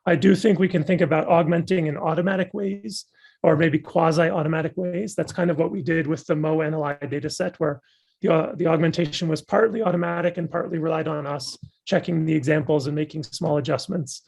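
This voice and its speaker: Male guest lecturer, voice going hoarse, husky and fairly high-pitched, eager and reflective. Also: fairly even intonation, brisk, darkish tone. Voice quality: gravelly and husky. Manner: thoughtful, enthusiastic academic explaining. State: losing his voice. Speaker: guest speaker. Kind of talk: answering audience questions after a talk.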